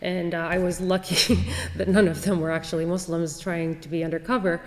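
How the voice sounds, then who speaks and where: A woman's voice: fairly low pitch, flat delivery, fairly quick. Woman on a panel in a reverberant hall. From Saudi Arabia. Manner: hesitant, many fillers and restarts. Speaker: panel speaker. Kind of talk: panel discussion answer. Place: university lecture hall.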